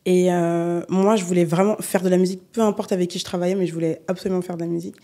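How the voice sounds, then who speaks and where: A young adult woman singer from the Paris region, chatting in an unhurried way as a podcast guest, with frequent fillers. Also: on the low side. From Paris region, France. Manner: relaxed, unhurried, many fillers. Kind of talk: casual interview chat.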